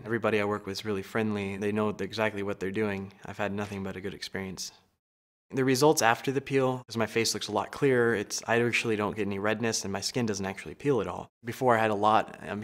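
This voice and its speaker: A man in his twenties from Arizona giving a relaxed, casual testimonial, with lots of "you know". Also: lowish voice. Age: 20s. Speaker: client testimonial.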